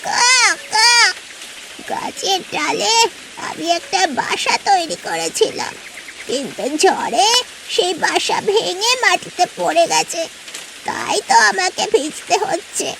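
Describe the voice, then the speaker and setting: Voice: extremely high pitch, expressive, slow pace, creaky, a crowd in the background, heavily acted. A performer voicing a sly, lazy female crow in a cartoon story, plaintive and wheedling, with caws. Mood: self-pitying. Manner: plaintive, wheedling, breaks into cawing.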